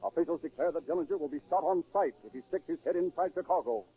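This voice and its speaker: A man's voice: a little high, quick pace, dark tone, husky. A man delivering dramatic scripted narration in the style of 1930s radio. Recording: tinny, phone-like 1930s radio recording.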